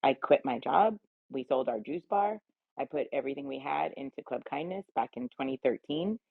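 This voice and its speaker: A woman's voice: rumbling low, expressive, a little fast, vocal fry, husky. An American woman telling personal stories candidly, with an assertive, emphatic and colloquial way of talking. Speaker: round table guest, cannabis advocate. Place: remote audio chatroom call. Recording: roomy, tinny phone-like audio.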